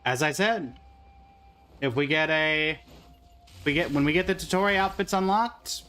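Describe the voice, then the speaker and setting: A man's voice: a little high, unhurried, pushed and bright, faint music behind. A man doing casual livestream game commentary, talking in short remarks to himself and to the characters on his screen.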